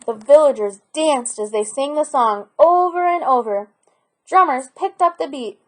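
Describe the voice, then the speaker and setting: A woman reading a children's picture book aloud in an expressive storytelling manner. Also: high-pitched, measured pace.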